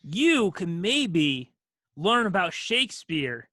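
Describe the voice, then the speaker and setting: A woman's voice: on the low side, big pitch swings, slow, fairly bright tone, dry recording, clean studio sound. A woman co-hosting a comedy podcast, chatting casually and improvising playfully. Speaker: podcast co-host.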